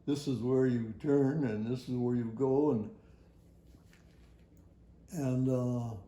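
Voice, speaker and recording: Older man, mellow tone, unhurried, halting folksy storyteller, oral history, roomy echo. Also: mid-range voice, steady tone. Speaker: retired air traffic facility worker.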